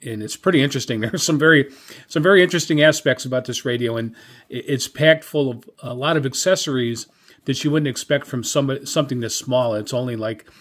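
A man's voice: medium pitch, fast. A middle-aged or older man giving a casual product review as a hobbyist, in a hesitant, rambling, conversational way. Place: indoor room with radio equipment.

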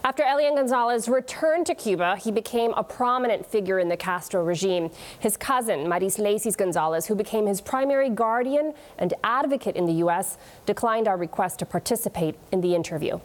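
A woman's voice: medium pitch, lively intonation, brisk. A woman in her thirties, a TV news reporter reading scripted narration.

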